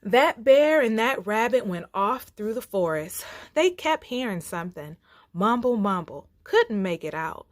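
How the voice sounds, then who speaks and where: Female read-aloud storyteller for kids, very expressive, takes her time, folksy and dramatic. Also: mid-range voice, no room sound, studio quality. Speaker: storyteller reading aloud for children.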